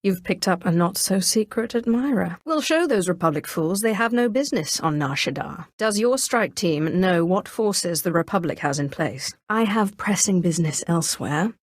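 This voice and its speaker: A woman's voice: on the low side, expressive, normal speed, hardly any pauses, dry recording, studio quality. A woman playing a haughty, menacing Sith sorceress in scripted video game dialogue, sardonic and imperious. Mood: sardonic, dismissive, then imperious, impatient. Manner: imperious, sardonic, crisply commanding.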